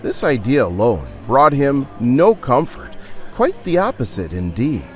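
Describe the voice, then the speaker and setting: A man's voice: mid-range voice, big pitch swings, a little slow, darkish tone, soft background music. A man narrating a scripted audio drama with theatrical, suspenseful storytelling.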